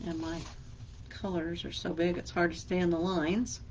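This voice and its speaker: A woman's voice: fairly low pitch, level tone, normal speed, a little mellow, slight background noise. A woman librarian leading a children's craft lesson, speaking patiently and asking simple questions as a teacher would.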